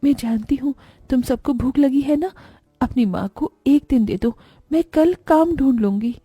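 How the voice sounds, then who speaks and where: A woman's voice: sits high, normal speed, warm tone, slightly rough, dry recording. A woman voicing a poor, tender, careworn village mother in a children's cartoon story, earnest and emotive.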